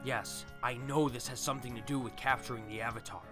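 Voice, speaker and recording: A man's voice: mid-range voice, steady tone, quick pace, over music, close to an everyday voice. A man playing an agitated, impatient banished teenage prince in an audio drama. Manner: agitated, questioning.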